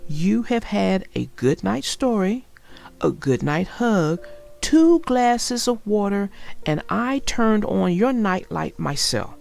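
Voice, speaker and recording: Lady doing a stern but kind picture book dad, takes her time, bit creaky. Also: medium pitch, very animated, slow, a little mellow, faint music behind, full character voice. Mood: firm, scolding. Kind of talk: children's storybook character voice.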